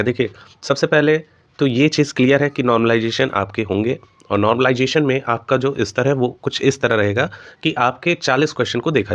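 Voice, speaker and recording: A man's voice: medium pitch, dry recording, muffled recording. Man explaining updates, dry sound. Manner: explanatory, peppered with English terms. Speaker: host of an exam news channel. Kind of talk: informal news update and exam analysis for students.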